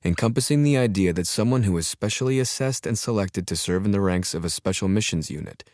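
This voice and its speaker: A man's voice: on the low side, lively intonation, fast talker, a little mellow, no room sound. A man reading a thriller audiobook as a dramatic scripted narration, recorded close in a studio. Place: dry studio, close mic.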